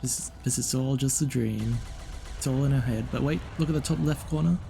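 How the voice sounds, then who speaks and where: A man's voice: mid-range voice, brisk, gravelly, warm tone. A man giving casual, puzzled, hesitant gameplay commentary, trailing off and repeating himself. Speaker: gaming video narrator. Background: game music.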